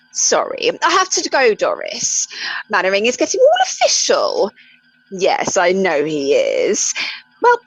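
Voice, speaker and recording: Woman playing a swooping, husky gossip. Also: on the high side, fairly bright tone, no echo, heavily acted. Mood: huffy. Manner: chatty, indignant, innuendo-laden. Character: gossipy, saucy widowed communications officer. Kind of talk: comic radio play acting. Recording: dull lo-fi close recording.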